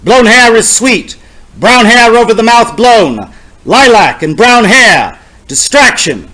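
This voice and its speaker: A man giving a dramatic poetry reading in a solemn, deliberate, theatrical and incantatory manner. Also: very high-pitched, sing-song, pushed and bright, low-quality recording. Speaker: poetry reader.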